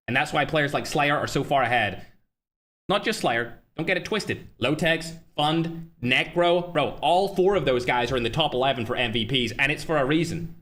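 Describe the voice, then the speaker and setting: Brash man, bright voice. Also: medium pitch. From Northern Ireland. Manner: brash, fast-flowing, talks straight to chat, trash-talking. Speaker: livestream host and tournament organizer. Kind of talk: casual live-stream chat.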